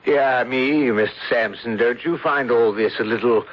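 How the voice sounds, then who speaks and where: A man's voice: medium pitch, normal speed, natural voice. Man playing a haughty radio villain, muffled recording. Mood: disdainful. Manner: haughty, disdainful, formal. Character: smooth-talking haughty poisoner villain, disdainful under accusation. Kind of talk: old-time radio drama acting. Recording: dull lo-fi vintage radio recording.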